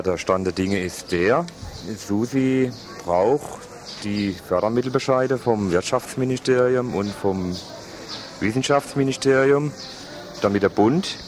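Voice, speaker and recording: A man's voice: lowish voice, unhurried. A man answering interview questions as spokesman for a housing initiative. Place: outdoors on a town square. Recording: outdoor street interview with crowd and traffic.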